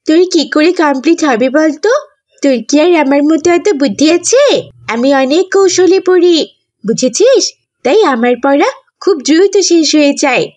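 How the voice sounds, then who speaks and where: A woman's voice: sits high, normal speed, darkish tone, natural voice. A woman voicing a boastful, scornful schoolgirl in a children's cartoon, sassy and scolding. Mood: boastful, annoyed. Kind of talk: scripted cartoon dubbing.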